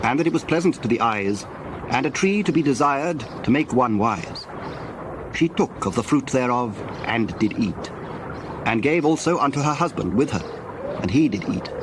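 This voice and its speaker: Male narrator, measured, solemn, scripture storytelling, mid-pitched, clear background noise bed. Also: lively intonation.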